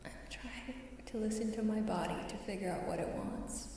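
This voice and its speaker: A woman streamer chatting softly in ASMR style, often slipping into a breathy whisper, hesitant and sounding unwell. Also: medium pitch. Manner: slow, hesitant, halting, apologetic. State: unwell, queasy. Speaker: live streamer. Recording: very echoey, distant, faint background noise.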